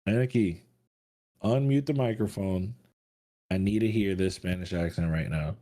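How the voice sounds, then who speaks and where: A man's voice: low-pitched, normal speed, warm tone. A man hosting a live trading stream, brash and crude, hyping the chat between trades. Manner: crude, brash hype talk. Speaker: host.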